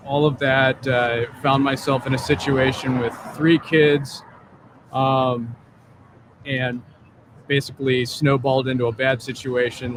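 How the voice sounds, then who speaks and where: A man's voice: mid-range voice, a bit bright. A man working as a case manager, talking candidly and plainly about his past, outdoors. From Ohio, raised in Columbus. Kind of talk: casual outdoor interview. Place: outdoors on a bench by a street. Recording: street noise behind.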